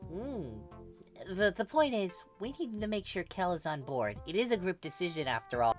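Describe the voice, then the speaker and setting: A smug, soft-spoken teenage boy, played by a woman in a story reading. The voice sits higher, teasing and coaxing, with music faintly behind it. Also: animated, normal speed, natural voice. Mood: patient, coaxing.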